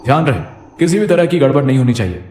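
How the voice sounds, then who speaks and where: A scheming hospital boss from a horror cartoon, acted by a man, stern and authoritative. He talks quickly in an echoey space with faint noise. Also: medium pitch, sing-song, a little mellow, natural voice. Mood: stern, conspiratorial. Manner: authoritative, cautioning.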